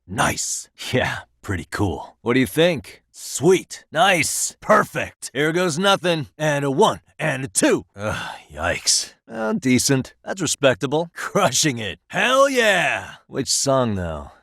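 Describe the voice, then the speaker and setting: A man's voice: medium pitch, wildly swooping pitch, takes it slowly, hardly any pauses, fairly bright tone, dry recording, studio quality, heavily acted. An American man playing a wry, streetwise private detective in a video game, speaking casually and sardonically in short lines and asides. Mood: upbeat, then relaxed, friendly. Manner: casual, sardonic muttering with frequent sighs.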